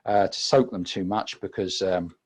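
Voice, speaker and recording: A British man giving an unscripted technical demonstration as a webinar presenter, halting often with repeated words and filled pauses. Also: deep voice, fairly even intonation, a little hiss or hum. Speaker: webinar presenter and audio engineer.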